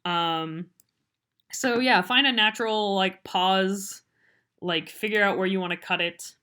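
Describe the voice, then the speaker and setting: A woman talks through a software tutorial in a casual, unscripted, rambling and self-deprecating way. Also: mid-range voice, unhurried, clean tone. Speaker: podcast editor giving a software tutorial. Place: voice-over on a computer screen recording.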